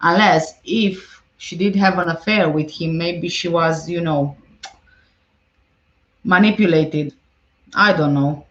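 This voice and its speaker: A woman's voice: on the low side, slow, long pauses, a bit bright, echoey room, highs cut off. A woman hosting a casual livestream, reading viewer comments aloud and answering them conversationally. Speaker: livestream host. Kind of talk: casual livestream chat about a case.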